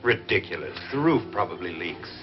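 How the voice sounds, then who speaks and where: A man's voice: low voice, very animated, fairly quick, soft background music. An actor playing a skeptical doctor in a 1950s horror film, wry, educated and dismissive in his delivery. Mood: scornful. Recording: dull, noisy old film soundtrack.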